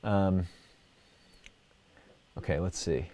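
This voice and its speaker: A man's voice: low-pitched, very slow, dark tone, dry recording. A man hosting a casual livestream, chatting in a playful, rambling show-and-tell way. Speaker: livestream host. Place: indoor personal workspace. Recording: clearly noisy room recording with clicks.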